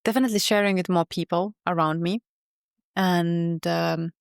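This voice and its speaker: Woman, Finnish accent, lowish pitch, dry close sound, earnest and measured. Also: steady tone, creaky, clear, resonant tone, no room sound. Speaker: podcast guest, health coach. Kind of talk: reflective interview talk.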